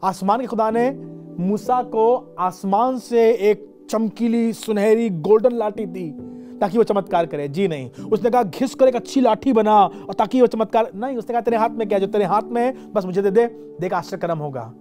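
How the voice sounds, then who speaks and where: Indian bishop in his forties, mellow and warm, dramatic sermon, soft music underneath. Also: sits high. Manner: dramatic, slows for emphasis, switches into English phrases. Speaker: bishop preaching. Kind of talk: sermon to camera. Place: dark studio set with a lamp.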